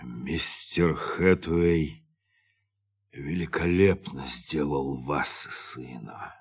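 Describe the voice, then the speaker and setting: An actor playing a grave, compassionate spaceship captain in a radio drama. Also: male voice, extremely low pitch, drawn-out words, exaggerated voice. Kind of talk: Soviet radio drama acting. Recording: ambient noise behind the voice.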